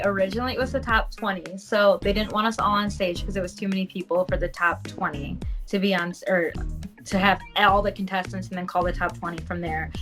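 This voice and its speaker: A woman's voice: mid-range voice, a bit bright. A young woman guest in a casual video call interview, upbeat and chatty. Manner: upbeat, frequent agreeing murmurs. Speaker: pageant contestant guest. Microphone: video call mic.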